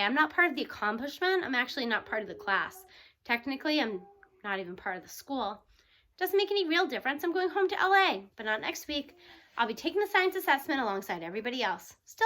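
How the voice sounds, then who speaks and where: High, bright-voiced woman reading a story. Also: fast. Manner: animated, acting out dialogue. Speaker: audiobook reader. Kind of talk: expressive read-aloud of a first-person children's novel.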